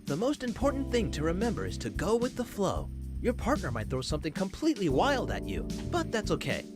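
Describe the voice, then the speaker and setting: An actor playing an upbeat, theatrical improv instructor in a visual novel game, addressing a class. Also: male voice, sits high, huge pitch swings, a little fast. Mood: enthusiastic, instructive. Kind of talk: visual novel character acting. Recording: clear music behind the voice. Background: background music.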